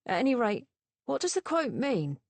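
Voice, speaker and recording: A woman voicing a gawky, awkward young gentleman who chatters eagerly. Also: a little high, big pitch swings, normal speed, dry recording, voice pushed up. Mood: chatty.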